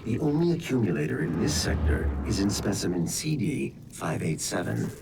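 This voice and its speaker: An actor voicing a calm, precise, helpful artificial intelligence in a sci-fi video game. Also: male voice, low voice, normal speed. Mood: calm, informative. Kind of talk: video game cutscene acting. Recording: distant voice with background noise.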